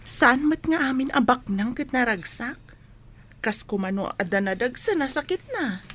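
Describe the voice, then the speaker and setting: Casting: level-headed, kindly student, fairly high pitch, Ilocano accent, for radio serial. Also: female voice, expressive, moderate pace, fairly bright tone. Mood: earnest. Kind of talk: Ilocano radio drama acting.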